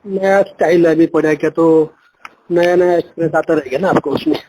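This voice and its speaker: A man's voice: on the high side, steady tone, brisk. A man speaking as a parent in an informal phone conversation, hesitant and thinking things over aloud. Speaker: parent being pitched by a salesperson. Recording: noisy phone call recording.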